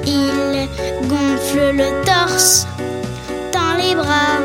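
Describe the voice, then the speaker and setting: A woman with a very high voice narrates a children's musical tale gently and expressively, taking her time over every line. Loud children's music plays behind her throughout. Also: fairly even intonation, extremely slow. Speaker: narrator and singer.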